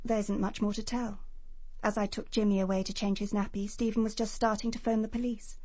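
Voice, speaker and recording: An English actress playing a prim, self-assured nurse giving precise testimony in a British radio crime drama. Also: female voice, medium pitch, level tone, fairly quick, dark tone, no room sound, lightly acted. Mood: brisk, matter-of-fact.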